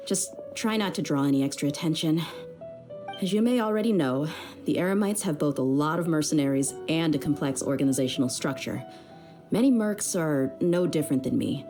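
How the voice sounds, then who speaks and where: A young desert mercenary woman with a low, grounded voice. She is loyal and easygoing, talking frankly and with plenty of slang, as in a video game. Music plays prominently behind her. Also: warm tone.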